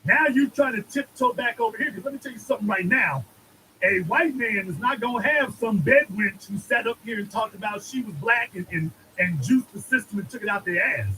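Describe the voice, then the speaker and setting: A man's voice: high-pitched, lively intonation, fairly quick, pushed and bright, a little hiss or hum, poor audio. A man giving an emphatic political monologue, preaching and repeating lines for effect. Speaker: commentator.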